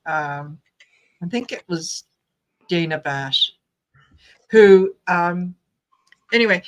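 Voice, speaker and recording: A woman with a lowish voice chats as co-host of a casual talk show. She tells a long account in a loose, chatty way with fillers. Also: fairly bright tone, rough recording. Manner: chatty, recounts at length with fillers. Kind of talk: casual chat.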